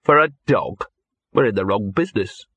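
A man's voice: on the low side, lively intonation, moderate pace, bright, forceful tone, husky, no room sound, heavily acted. A man voicing a brusque young male assistant who gives curt orders.

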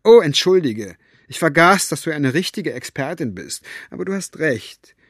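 A man voicing a gruff, sharp-tongued woman book collector who talks brusquely and mockingly. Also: on the high side, animated, moderate pace, dry recording, clean studio sound, pitched above the performer's own voice, lightly acted.

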